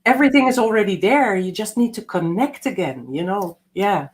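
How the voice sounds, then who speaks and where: A woman from the Netherlands, a guest on a live video call, speaking in a warm, reflective way that sometimes slows and softens. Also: mid-range voice, lively intonation. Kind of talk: casual online interview.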